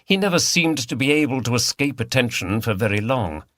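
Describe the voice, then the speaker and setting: A man's voice: medium pitch, expressive, a little fast, fairly bright tone, no echo. A man reading a mystery novel as scripted audiobook narration.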